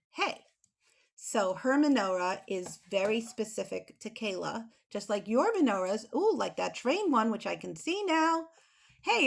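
An American woman with a fairly high voice talks to children on a video call. She explains things simply and casually, in an encouraging manner. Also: vocal fry. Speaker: children's author and illustrator as guest. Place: small home room with bookshelf, indoors. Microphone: computer mic on video call.

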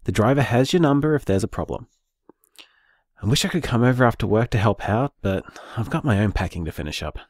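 An actor playing a loving, frank and wry young Australian boyfriend in an audio drama told through voicemails. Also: male voice, mid-range voice, expressive, fairly quick, dry recording, clean studio sound, lightly acted. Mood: grateful, fond.

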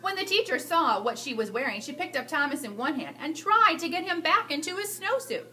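A woman with a high, very lively voice reads a story to young children. She stretches words for emphasis and keeps an animated storytelling delivery. Clear background noise sits behind her in the room. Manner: expressive, drawn-out emphasis for young listeners. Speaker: school staff storyteller reading to children. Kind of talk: animated children's read-aloud.

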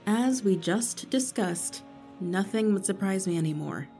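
Actress as a mellow, businesslike police investigator. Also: female voice, medium pitch, lively intonation, unhurried, soft background music. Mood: suspicious. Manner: matter-of-fact, dry. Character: businesslike, suspicious, wry female police investigator. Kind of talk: audio drama acting.

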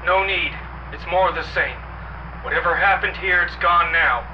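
A man playing a calm, steady soldier leading a patrol over military radio in an audio drama. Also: fairly high pitch, normal speed, lo-fi sound. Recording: distant, noisy radio transmission.

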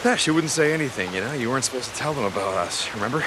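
A man's voice: mid-range voice, animated, racing, noisy background, natural voice. An American man playing an easygoing, teasing outlaw biker in his thirties in a video game, informal and profane. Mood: bantering. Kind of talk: naturalistic video game acting.

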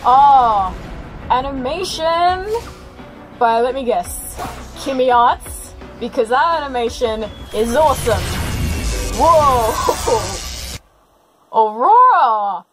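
A woman giving spontaneous reaction commentary, excitable and full of exclamations. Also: high-pitched, slow, long pauses, over music.

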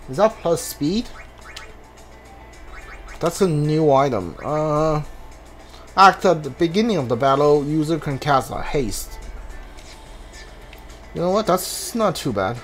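Higher-voiced man, halting game commentary. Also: expressive. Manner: halting, mumbled thinking aloud, repeats words. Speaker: gamer, playthrough host. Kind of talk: casual video game commentary. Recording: game music behind the voice. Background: video game music.

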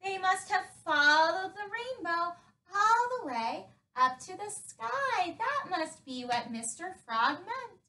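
Storybook unicorn boy, curious and pleading, high bright voice, put on by a woman. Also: sing-song, slow, far from the mic, exaggerated voice. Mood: questioning. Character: sweet curious young unicorn boy searching for friends.

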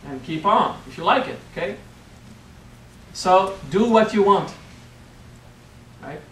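A man's voice: high-pitched, animated, takes it slowly, distant mic, a little hiss or hum. French-accented man teaching in an echoey room. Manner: playful, keeps checking in with "okay?". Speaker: spiritual teacher. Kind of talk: workshop talk. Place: hotel meeting room. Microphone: far camera.

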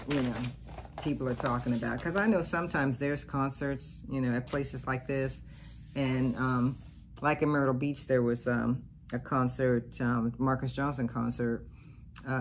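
A woman's voice: rumbling low, a little mellow, slight background noise. A woman in her fifties chatting casually to her phone camera for a vlog, laughing often and talking loosely with fillers. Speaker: vlogger. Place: parked car and hotel room. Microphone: phone held at arm's length.

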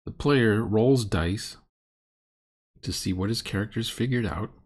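A middle-aged man comments casually on a tabletop game. His voice is slightly low and rough-edged with huskiness, and his delivery is sardonic and fault-finding. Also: measured pace. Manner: sardonic, critical. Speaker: commentator reviewing a roleplaying game session.

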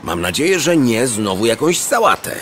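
A man's voice: medium pitch, normal speed, a little hiss or hum. An actor voicing a cocky young male cartoon dog who teases and boasts. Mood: mocking. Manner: boastful, teasing, very lively. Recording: studio cartoon audio with music behind.

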